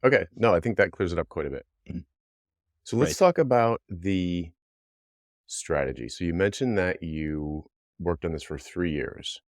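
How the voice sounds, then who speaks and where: A man's voice: low voice, lively intonation, moderate pace, dry recording, studio quality. A man hosting a podcast, asking probing questions and thinking aloud as he frames them. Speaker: podcast host. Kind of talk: interviewer questions.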